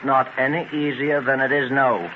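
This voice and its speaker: A man's voice: mid-range voice, fairly even intonation, normal speed, lightly acted. An actor playing an earnest, ambitious young man in a 1930s radio drama. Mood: earnest, confident. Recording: muffled, noisy 1930s radio transcription.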